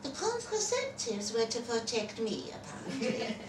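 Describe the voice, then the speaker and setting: A woman acts out a married Frenchwoman baring secrets to her lover, wry and theatrical. Her pitch swings widely in a roomy space, picked up from a distance with some background noise. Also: big pitch swings, moderate pace. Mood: rueful, wry. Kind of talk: live dramatic short story reading.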